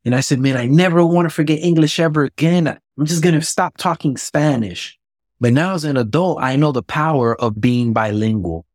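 A man's voice: a little high, normal speed, no echo. Candid male guest, dry close sound. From Miami, USA. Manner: candid, explains with personal examples. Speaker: guest, businessman and ex-boxer. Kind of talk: conversational interview.

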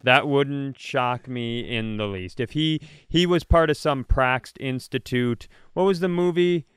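A man's voice: mid-range voice, unhurried, clear, resonant tone, no echo. A man co-hosting a comedy podcast, telling rambling, self-mocking stories in casual, playfully exaggerated banter.

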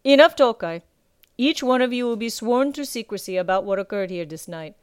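A woman voicing a gruff male sea captain who talks in rough sailor speech and keeps tagging his lines with "aye.". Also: a little high, animated, fairly quick, no room sound, clean studio sound, voice pushed up, natural voice. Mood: commanding.

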